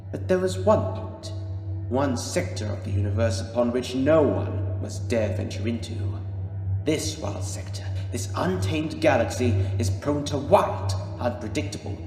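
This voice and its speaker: A man's voice: medium pitch, darkish tone, natural voice. A man playing a solemn, mysterious time-travelling storyteller, narrating a sci-fi audio drama in a portentous way. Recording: echoey, long reverb, music behind.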